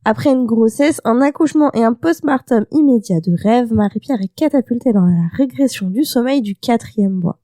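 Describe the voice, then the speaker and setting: A woman hosting a podcast interview, chatty and quick to laugh, with many fillers. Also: a little high, mellow, no room sound. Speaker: podcast host.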